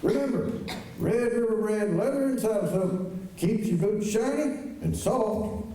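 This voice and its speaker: A man's voice: sits high, unhurried, warm tone. A man reading an old-time radio sponsor commercial as the announcer. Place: high school auditorium stage. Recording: very echoey auditorium, distant, faint noise.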